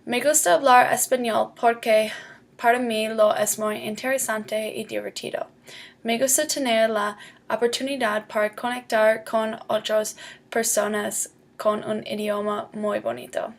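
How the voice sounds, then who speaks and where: Young woman, student, careful learner speech, grammar slips, slow delivery, gravelly fry. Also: fairly high pitch, little pitch movement. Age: young adult. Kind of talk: read-aloud school presentation.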